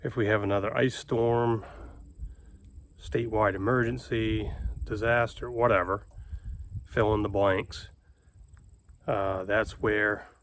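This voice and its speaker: Male how-to host, lowish voice, plain-spoken and folksy, informal step-by-step delivery. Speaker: homestead video host and ham radio operator. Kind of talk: casual how-to explanation.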